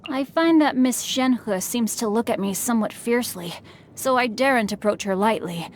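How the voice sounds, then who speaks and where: A woman's voice: a little high, lively intonation, normal speed, slight background noise, natural voice. A woman voicing a courteous, bookish teenage boy martial artist in a video game, with refined, eloquent and formal diction. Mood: puzzled, thoughtful. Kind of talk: video game character voice lines. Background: music.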